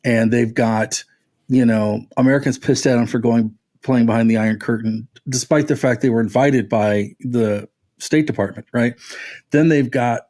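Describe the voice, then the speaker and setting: A man's voice: medium pitch, steady tone, normal speed, a little mellow. A man talking casually and at length as a music podcast panelist, with an enthusiastic, discursive manner.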